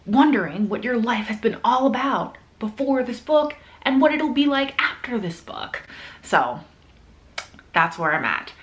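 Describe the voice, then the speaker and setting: A woman chatting casually to viewers as a book vlogger, in an informal, effusive, slangy way. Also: medium pitch.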